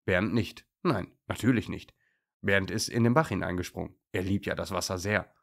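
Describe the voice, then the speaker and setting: A man's voice: sits low, normal speed, creaky, a bit bright. A man narrating a scripted children's animal story as a storyteller.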